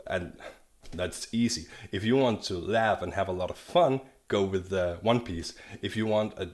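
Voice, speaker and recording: A man from Denmark, giving an enthusiastic, rambling video review of a manga and often restarting his sentences. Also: fairly low pitch. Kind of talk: casual video review monologue.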